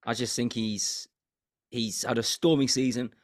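A young English man co-hosting a football podcast, talking in a measured, hesitant way with repeats, his voice strained and cracking squeaky as he loses it. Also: fairly even intonation, darkish tone, no echo, clean studio sound. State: losing his voice, goes squeaky. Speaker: podcast co-host. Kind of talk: casual football chat.

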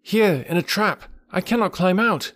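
A man's voice: sits high, lively intonation, normal speed, fairly bright tone, dry recording, pitched above the performer's own voice. A man voicing a young jungle boy in a storybook, earnest and affectionate, with a plaintive raised voice. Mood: pleading.

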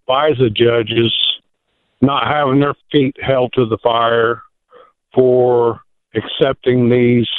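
A man's voice: medium pitch, clear, resonant tone, dry recording. Hesitant indignant male phone caller. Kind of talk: call-in radio question. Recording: phone line.

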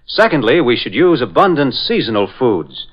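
A man with an American accent reads as a 1940s radio announcer and narrator. His mid-pitched voice rises and falls with plenty of animation. The delivery is formal, emphatic and clearly scripted. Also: very animated, normal speed, highs cut off.

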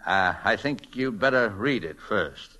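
A kindly old telegraph operator in a vintage radio play. The voice is lowish and forceful with big swings in pitch, and he muses haltingly like a gentle father giving advice. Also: animated, heavily acted. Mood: gently concerned. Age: older. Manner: hesitant, ruminative, gently stammering. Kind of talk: 1940s radio drama acting. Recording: vintage 1940s radio recording.

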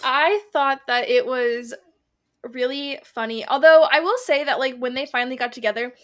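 High-voiced woman chatting casually. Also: moderate pace, a bit bright. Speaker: book podcast co-host. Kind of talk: casual unscripted book chat.

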